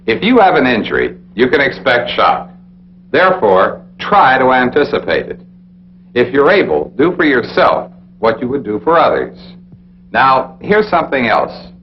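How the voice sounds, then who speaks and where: An American man narrating a 1960s military training film in an authoritative, deliberate, instructional way, speaking straight to the listener. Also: medium pitch, wildly swooping pitch. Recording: dull, noisy 1960s film soundtrack with hum.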